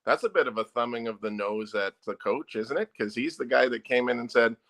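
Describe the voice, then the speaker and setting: A man who is a newspaper sports editor, guesting on a sports talk show. His voice is bright and forceful, and he states his opinions firmly and emphatically. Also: mid-range voice, fairly quick, creaky.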